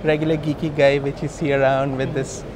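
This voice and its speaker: A man's voice: mid-range voice, little pitch movement, brisk. A man in his thirties with an Indian accent, speaking informally and emphatically as an entrepreneur being interviewed. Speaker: company co-founder being interviewed. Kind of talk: conversational business interview. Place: busy hosting industry event. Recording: noisy event location, traffic-like ambient noise.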